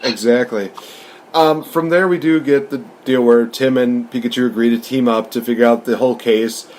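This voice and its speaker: A man's voice: mid-range voice, steady tone, moderate pace, a little mellow. A man hosting a casual movie review, rambling through it with enthusiasm. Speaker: podcast host and film reviewer.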